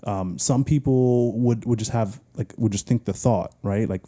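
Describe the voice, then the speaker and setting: A man's voice: mid-range voice, normal speed, mellow. A man co-hosting a casual podcast, musing through ideas loosely with many fillers like "like" and "you know". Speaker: podcast co-host. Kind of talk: casual chat.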